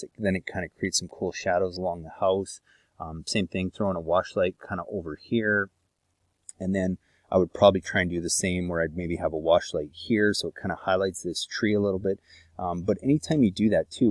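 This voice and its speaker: A man giving a casual, relaxed voice-over consultation, explaining a lighting plan with many ums and uhs. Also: low-pitched, dry recording. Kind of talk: narrating an online design consultation over photos.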